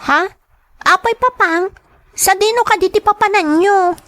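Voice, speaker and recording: A woman playing a young schoolgirl daughter in a radio drama, affectionate and easily upset, with an expressive childlike delivery. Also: very high voice, a little slow, dry recording. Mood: surprised, hurt.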